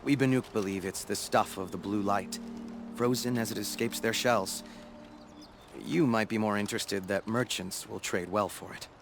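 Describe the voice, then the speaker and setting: An actor playing an earnest, humble apprentice shaman in a video game, speaking in a measured, solemn and formal way. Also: lowish voice, fairly even intonation, faint music behind, close to an everyday voice. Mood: hopeful, solemn. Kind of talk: video game cutscene acting.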